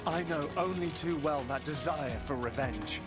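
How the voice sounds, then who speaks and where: A man plays a determined young hero with a British accent. His voice is light and high, with earnest, dramatic video game delivery. Loud music plays behind him. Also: moderate pace, lightly acted. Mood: resolute.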